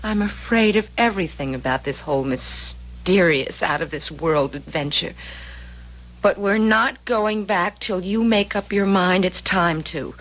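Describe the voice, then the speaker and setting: An actress playing an anxious, conscience-stricken young wife in an old-time radio drama, speaking with earnest, emotional emphasis. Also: female voice, fairly low pitch, unhurried, a bit bright, poor audio. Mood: fearful.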